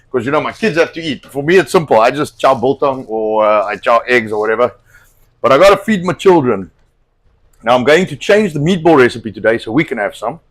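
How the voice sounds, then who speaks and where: A middle-aged man hosting a cooking live stream, chatting bluntly and swearing a lot as he rambles, his voice slightly hoarse from a cold. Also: mid-range voice. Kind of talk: casual profane chat while cooking.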